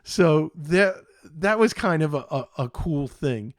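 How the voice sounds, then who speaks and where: Slow-talking American man, fairly high voice. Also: lively intonation, no echo, clean studio sound. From Philadelphia, United States. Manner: halting, meandering, enthusiastic. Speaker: show host. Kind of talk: casual podcast talk.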